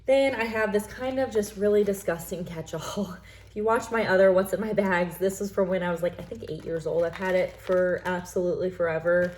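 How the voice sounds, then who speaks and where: A woman's voice: fairly low pitch, fairly even intonation, fairly quick, darkish tone. A young woman from the United States chatting casually through her bag in a vlog, rambling with lots of fillers and sounding congested from allergies. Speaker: lifestyle vlogger.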